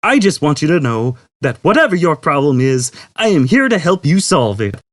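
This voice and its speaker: Ship's computer, played by a male narrator: raised pitch, bouncy, brash, relentlessly upbeat sales patter for a sci-fi audiobook. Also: big pitch swings, normal speed, no echo, clean studio sound. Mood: cheery. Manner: salesman-like, chattering, over-eager. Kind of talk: audiobook character voice.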